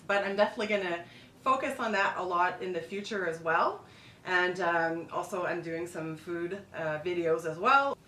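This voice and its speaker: A middle-aged woman chats about her life to camera, easygoing and full of asides. Her voice sits at an ordinary pitch. The room rings around her, the mic is set back, and a faint rumble sits underneath. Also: fairly bright tone, reverberant, distant mic. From Montreal, Quebec, Canada. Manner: chatty, loose, with restarts and asides. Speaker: food and travel blogger. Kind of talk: casual vlog monologue.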